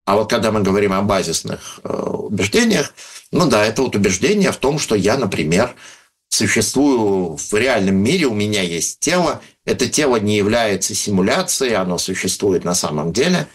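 A man explaining ideas in unscripted talk, thoughtful and pausing often with hesitations. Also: on the low side, sing-song, breathy edge. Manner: thoughtful, many hesitations, reads out listener questions. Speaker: speaker answering questions on philosophy of religion.

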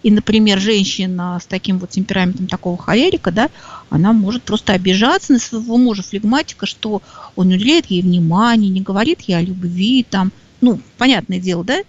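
Female co-host, mid-pitched, casual and halting, slightly lo-fi dull sound. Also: a little mellow. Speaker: talk show co-host. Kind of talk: casual conversational discussion. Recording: dull, band-limited sound.

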